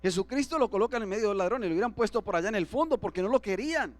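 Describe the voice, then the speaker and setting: A man's voice: high voice, lively intonation, very fast, no echo. A man preaching as pastor to his congregation, often asking them for agreement. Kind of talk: sermon. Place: church.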